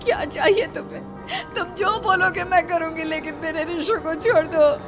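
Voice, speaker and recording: A woman's voice: very high voice, moderate pace, voice pushed up. A woman voicing a protective, anxious village mother in a dramatic story, speaking urgently and emotionally. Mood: frightened pleading. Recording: music playing behind the voice.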